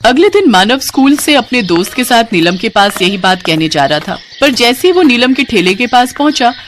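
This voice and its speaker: A woman's voice: a little high, sing-song, normal speed, slight background noise. A woman storyteller reading a scripted tale as narrator.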